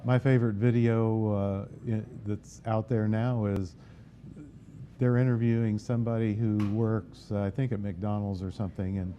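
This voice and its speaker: A man being interviewed about his organization, speaking plainly and hesitantly with many pauses. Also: lowish voice, little pitch movement, muffled recording, a little hiss or hum. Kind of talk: casual interview chat.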